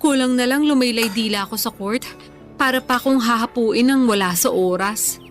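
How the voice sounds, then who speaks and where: High-voiced young Filipina, emotional and confiding, acted by a woman. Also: normal speed, soft background music, lightly acted. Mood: lighter, confiding. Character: young overweight woman, ill, self-doubting, confiding in her friend. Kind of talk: Tagalog radio drama acting.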